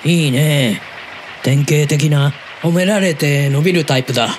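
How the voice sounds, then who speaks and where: A man playing an easygoing, chatty middle-aged uncle who is soft on women, in a Japanese audio drama. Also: a little high, unhurried, a little hiss or hum, close to an everyday voice. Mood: encouraging, easygoing. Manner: casual, blunt, chatty.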